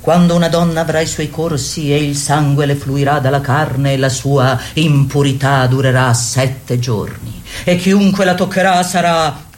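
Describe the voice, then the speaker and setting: A woman's voice: low voice, steady tone, a little slow, darkish tone. An actress playing a woman who leads a group in a radio play, reading out decrees in an emphatic, ironic way. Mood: mock-solemn. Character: woman leading a circle, reading out decrees with irony. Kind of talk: theatrical radio drama monologue and dialogue.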